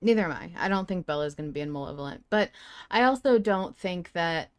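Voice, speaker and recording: A woman co-hosting a podcast in casual fan discussion, animated and exclamatory, swearing freely. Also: on the low side, brisk, clean tone. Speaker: podcast co-host.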